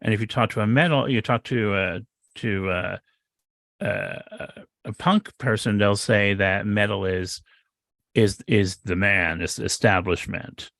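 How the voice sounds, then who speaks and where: A man co-hosting a casual podcast, asking animated, jokey questions in a voice he calls higher. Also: measured pace, gravelly, no echo, clean studio sound. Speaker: podcast co-host.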